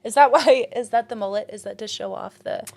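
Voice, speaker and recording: A woman hosting a podcast in casual chat, giggly and teasing, talking in quick playful bursts. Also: a little high, gravelly, darkish tone. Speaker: podcast host.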